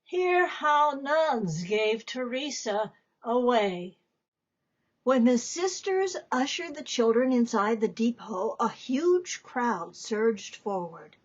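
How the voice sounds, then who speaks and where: A woman giving a dramatic reading of a story, deliberate and theatrical, with emphatic pauses. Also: fairly high pitch, husky, highs cut off. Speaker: author reading from own book.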